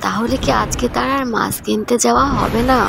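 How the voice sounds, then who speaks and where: A woman's voice: a little high, steady tone, fairly quick, gravelly. A woman narrator telling a scripted cartoon story, with noise behind her. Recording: noticeable background noise.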